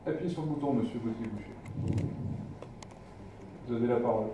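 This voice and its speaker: A man's voice: medium pitch, moderate pace. A man chairing a council meeting as its president, in brief procedural phrases, recorded from far off in an echoey room. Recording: distant, very echoey room, faint noise.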